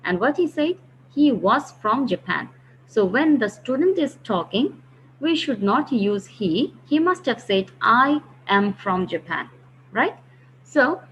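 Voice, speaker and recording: A woman teaching a grammar lesson, patiently explaining step by step and slowing down to read example sentences. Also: on the high side, highs cut off, a little hiss or hum. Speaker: English teacher.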